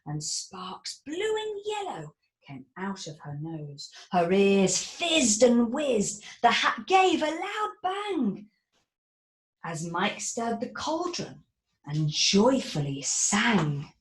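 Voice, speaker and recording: A woman reading her own rhyming children's book aloud in a sing-song, expressive way, with dramatic whispers and gasps. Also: medium pitch, takes it slowly, roomy sound.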